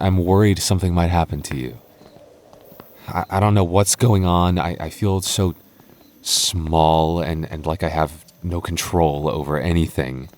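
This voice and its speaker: Young man voicing a low-voiced, stammering goth boyfriend, nervous but protective, in an audio roleplay. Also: darkish tone, a little hiss or hum. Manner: stammering, repeating words. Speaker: voice actor.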